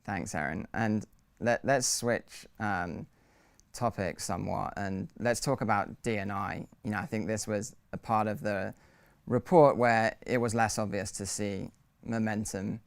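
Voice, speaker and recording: Man moderating a panel, low voice, smooth and clear, dry close sound. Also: steady tone, no room sound. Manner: measured, hedging with fillers.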